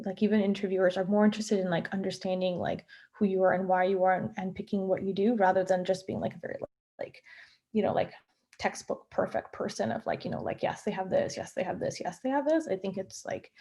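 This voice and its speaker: A woman's voice: mid-range voice, gravelly, mellow. Young woman from Canada, family doctor, loose chatty Q&A delivery full of fillers, mid-range voice, video call sound. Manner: chatty, many fillers and restarts. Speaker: family physician answering student questions. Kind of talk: casual Q&A in a virtual session.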